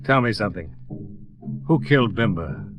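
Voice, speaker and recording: An American man playing a terse, hard-boiled investigator in an old radio drama, dry and deliberate. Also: on the low side, very animated, soft background music. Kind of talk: 1950s radio narration and acting. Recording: dull old radio recording.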